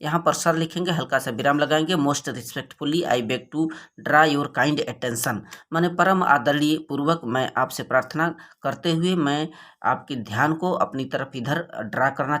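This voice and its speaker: A man's voice: on the high side, a bit bright. North Indian man teaching, explaining step by step. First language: Hindi. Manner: didactic, reads English slowly phrase by phrase, then explains. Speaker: English teacher for board exam students. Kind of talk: explanatory exam lesson, switching between Hindi and English.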